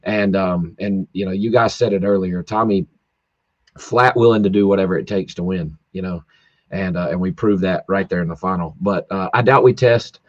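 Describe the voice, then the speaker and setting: A man who races drag cars, hoarse from yelling, talking in a rambling casual way full of fillers and restarts over a call. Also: low-pitched, moderate pace, dry recording, dull audio. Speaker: drag racer guest. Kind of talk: casual podcast chat. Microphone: video call, home internet.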